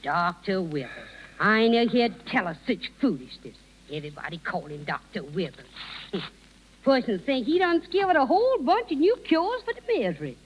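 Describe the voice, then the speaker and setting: An actress playing a fussy, outspoken, loyal servant with a folksy American Southern accent in a 1930s radio drama. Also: female voice, mid-range voice, sing-song, moderate pace, breathy edge. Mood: indignant, scoffing. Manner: folksy dialect, scoffing and fussing. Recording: dull 1930s radio recording.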